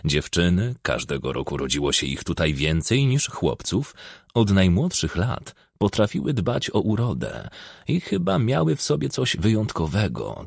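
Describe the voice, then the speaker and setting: Husky man narrating with very animated intonation. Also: sits low, no room sound, clean studio sound. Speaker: audiobook narrator. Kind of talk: expressive scripted audiobook narration.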